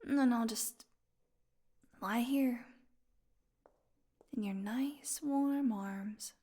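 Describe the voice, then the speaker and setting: A woman playing a weakened, hungry female vampire in an intimate audio roleplay, sarcastic and teasing, with a breathy voice that often drops into a whisper. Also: fairly high pitch, dark tone. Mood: hungry, longing. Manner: sarcastic, breathy murmurs, drawn-out weary delivery.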